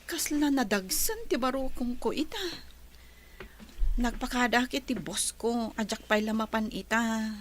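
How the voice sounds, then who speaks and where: A woman's voice: a little high, lively intonation, a little slow, a little hiss or hum. A woman with a Filipino accent playing a weary young working woman in a radio drama. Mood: weary, concerned. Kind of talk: Ilocano radio drama acting.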